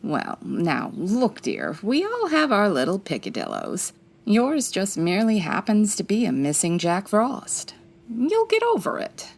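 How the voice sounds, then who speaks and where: A woman's voice: mid-range voice, wildly swooping pitch, measured pace, creaky, full character voice. A woman playing a classy, wry female private eye in a 1940s radio detective style, breezy and full of quips. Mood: wry, dismissive.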